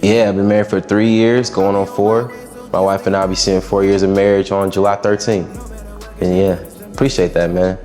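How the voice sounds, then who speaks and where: A young man with a raspy, lowish voice talks through interview answers in an easygoing, reflective way with casual slang. Soft music plays faintly behind him. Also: level tone, normal speed. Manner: laid-back, reflective, slangy. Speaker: musician guest.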